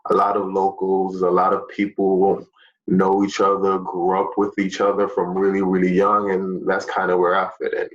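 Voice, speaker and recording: A man's voice: deep voice, highs cut off. A young man, a fitness teacher from Jamaica, talking casually to his students with many fillers. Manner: relaxed, chatty, many fillers.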